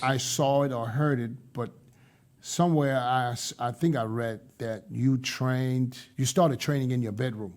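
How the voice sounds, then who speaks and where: A man's voice: medium pitch, fairly even intonation, a little slow, darkish tone. A man hosting a podcast, chatting casually and often repeating words as he forms his questions. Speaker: podcast host interviewer. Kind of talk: casual interview chat.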